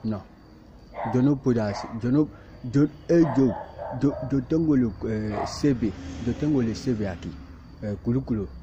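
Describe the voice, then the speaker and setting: A man speaking halting, repetitive second-language Spanish, insisting and defending himself in a self-recorded plea, outdoors in a field. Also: medium pitch. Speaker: migrant speaking to camera. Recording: muffled with clear background noise and barking dog.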